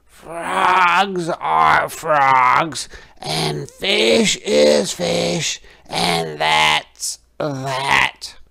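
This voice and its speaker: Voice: medium pitch, extremely slow, vocal fry, sharp tone, pitched above the performer's own voice, heavily acted. A storybook reader voicing a proud, boastful young frog with a triumphant, mysterious, emphatic delivery. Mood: insistent. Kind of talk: children's storybook character voice.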